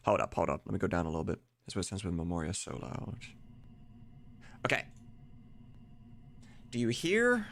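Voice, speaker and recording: A man's voice: low voice, huge pitch swings, normal speed, long pauses, fairly bright tone, a little hiss or hum. A man chatting casually on a live stream in an informal, sweary way, thinking aloud as he goes. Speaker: streamer and voice mod creator. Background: video game music.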